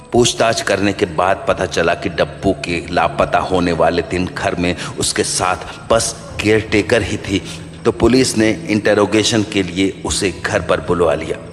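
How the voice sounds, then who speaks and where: A man narrates a horror story in a dramatic, scripted style. His low voice carries a long reverb and sits over prominent music.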